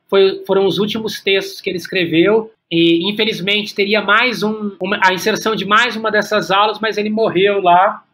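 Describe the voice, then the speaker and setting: Casting: Brazilian male podcast guest, high-pitched voice, informal delivery, halting with repeated words. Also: a bit bright. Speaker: podcast guest, playwright and workshop teacher. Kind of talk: casual conversational interview.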